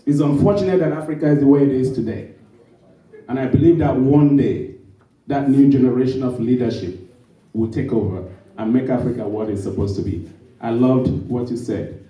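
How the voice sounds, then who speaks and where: A man, a storyteller, giving a halting, then emphatic, speech to an audience. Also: medium pitch, mellow. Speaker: storyteller speaking at a summit. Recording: echoey hall, mic from a distance.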